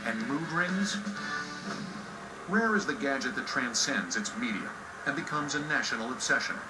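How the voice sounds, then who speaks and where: American man, scripted documentary narrator, mid-pitched, playful slangy flourishes, prominent music bed. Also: expressive, normal speed. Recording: roomy sound under loud music bed.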